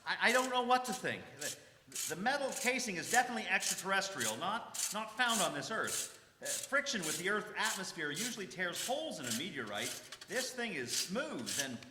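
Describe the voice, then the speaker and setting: Man acting a hesitant professor, high swooping voice. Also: very animated. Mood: puzzled. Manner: halting, stammering, deliberate. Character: hesitant, puzzled male scientist professor. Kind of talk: live radio drama acting. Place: live stage performance in a church. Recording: echoey church hall, noisy rustling.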